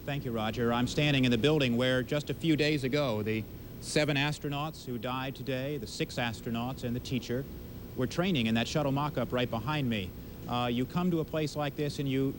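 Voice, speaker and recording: A man with an American accent gives a live television report in a medium-pitched voice. His delivery is measured and halting, over clear background rumble in an old broadcast recording. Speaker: television news correspondent. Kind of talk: live field report, semi-improvised. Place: inside a large space training building.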